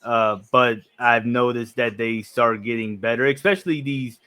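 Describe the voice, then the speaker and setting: Smooth-voiced man in his thirties. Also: mid-range voice, normal speed. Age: 30s. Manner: relaxed, agreeable, thinks aloud with restarts. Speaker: podcast host. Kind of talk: casual chat.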